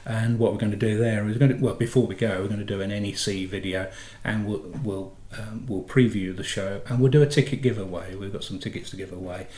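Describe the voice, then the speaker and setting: A man's voice: fairly low pitch, steady tone, fast talker, hardly any pauses, warm tone. A middle-aged man with a head cold and a slightly nasal voice, chatting casually and correcting himself as he hosts a motorhome channel. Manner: chatty, rambling, self-correcting. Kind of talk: casual chat. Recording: echoey room.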